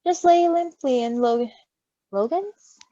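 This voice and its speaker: A woman reading a story in an animated way, with giggly, casual asides. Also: sits high, slow, no echo. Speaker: story reader. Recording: slightly dull, close dry recording.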